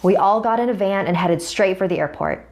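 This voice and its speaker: A woman's voice: medium pitch, a little fast, darkish tone, slight background noise. A woman narrating a scripted first-person horror story as a storyteller. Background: music.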